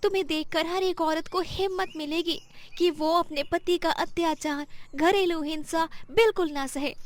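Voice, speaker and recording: A woman's voice: very high voice, steady tone, moderate pace. A woman voicing an admiring, earnest village customer in cartoon dialogue, speaking emphatically.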